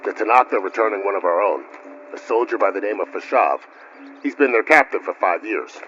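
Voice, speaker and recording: An actor playing a courteous military second-in-command in a video game, formal and measured with dry humour. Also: male voice, fairly low pitch, lively intonation, a bit bright, lo-fi sound, a little hiss or hum. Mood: informative. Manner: formal, measured, drily humorous.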